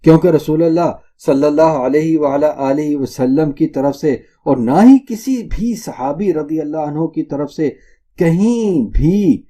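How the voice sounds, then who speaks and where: A man's voice: fairly high pitch, warm tone. A man delivering an Islamic religious lecture in a didactic, emphatic way, repeating points for stress. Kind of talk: Islamic sermon lecture addressed to listeners.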